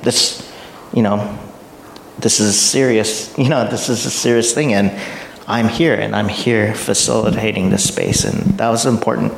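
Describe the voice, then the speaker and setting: A middle-aged man, a pastor, talking casually and haltingly as in an informal introduction or spontaneous prayer. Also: lowish voice, creaky. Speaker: pastor introducing a guest speaker. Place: echoey church room, live audience. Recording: echoey room with background noise.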